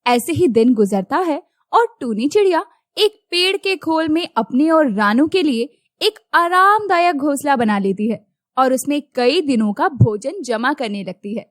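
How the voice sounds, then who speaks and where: A woman narrating a children's cartoon story in a scripted, expressive storytelling manner. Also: high-pitched, measured pace, no room sound, studio quality.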